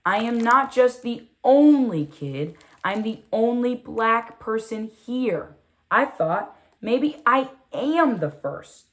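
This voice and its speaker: A woman reading a children's storybook in the first person as a curious, proud six-year-old girl, expressive and full of wonder. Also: on the high side, takes it slowly, pitched above the performer's own voice. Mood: proud, hopeful. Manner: expressive, wondering, asks rhetorical questions.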